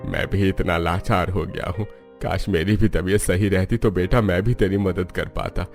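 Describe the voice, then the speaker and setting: A man's voice: sits low, fairly even intonation, normal speed. A man performs an elderly, ailing father in a cartoon drama. He sounds helpless and regretful. Faint music runs underneath. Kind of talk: cartoon family drama dialogue.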